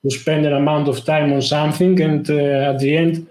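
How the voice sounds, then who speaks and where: A man talks casually as a guest, stopping often to hunt for words. His voice sits a little high for a man. The sound bounces around an echoey room. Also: level tone, darkish tone. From Greece. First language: Greek. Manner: halting, lots of "uh" fillers. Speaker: guest, tech YouTuber. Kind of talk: casual interview talk.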